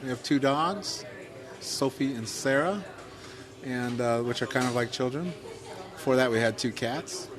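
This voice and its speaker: A man's voice: medium pitch, unhurried. A middle-aged man with a Midwestern accent, telling his career story in a casual podcast chat, full of fillers and self-deprecating asides. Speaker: podcast co-host.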